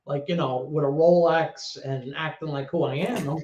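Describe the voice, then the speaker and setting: A man, telling stories as a guest in a casual interview chat. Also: fairly high pitch. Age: 30s. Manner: animated storyteller with quick asides. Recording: echoey lo-fi call audio.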